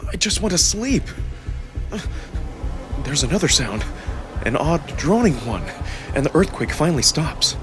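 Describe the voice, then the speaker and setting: A man performing dramatic first-person story narration with expressive, acted-out delivery. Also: on the high side, measured pace, pauses often. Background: music.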